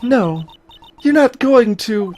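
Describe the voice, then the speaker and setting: A man's voice: very high voice, lively intonation, slow pace, darkish tone, voice pushed up, heavily acted. A man voicing an anxious young female legal assistant in a video game, worried and pleading. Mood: incredulous.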